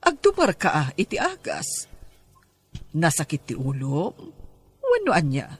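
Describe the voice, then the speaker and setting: A woman's voice: sits low, huge pitch swings, unhurried, slightly rough, exaggerated voice. A woman playing a caring, coaxing mother of a grown son in an Ilocano drama podcast, speaking with an Ilocano accent. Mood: worried.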